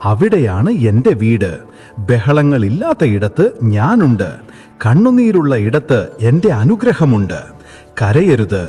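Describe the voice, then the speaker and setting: A man voicing a serene divine teacher who gently consoles a grieving devotee in a slow, soothing devotional monologue. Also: medium pitch, breathy edge. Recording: long hall reverb with soft music.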